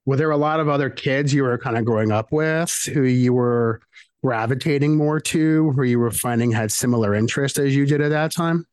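A male podcast host poses friendly questions to his guest. His voice sits in a middle pitch and sounds dry and close. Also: fairly quick, darkish tone, smooth, no echo. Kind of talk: interview questions.

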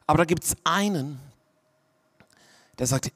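Pastor preaching with hushed emphasis. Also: male voice, on the high side, a little slow. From Swabia, Germany. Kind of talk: sermon.

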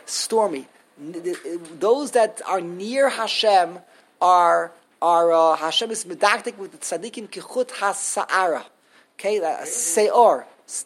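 A woman teaching a Torah class to a small group, lively and animated, switching often into Hebrew terms. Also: medium pitch. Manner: animated, acts out quotes, mixes in Hebrew terms.

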